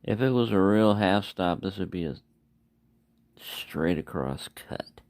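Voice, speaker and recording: A man's voice: low voice, animated, takes it slowly, a little mellow, no echo. A man giving a casual, unscripted product review, rambling and correcting himself as he goes. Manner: rambling, self-correcting, mumbling asides. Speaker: knife reviewer.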